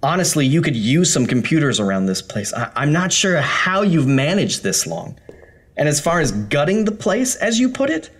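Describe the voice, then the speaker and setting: An actor playing a stressed, secretive city architect in an audio horror drama, stammering and nervous. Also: male voice, fairly high pitch.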